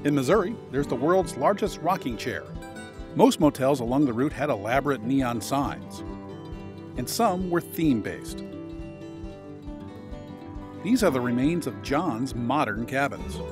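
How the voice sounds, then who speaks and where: A man narrating a road trip travel video in a measured, informative tour-guide manner. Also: medium pitch, lively intonation. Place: voice-over, not on location. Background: guitar music.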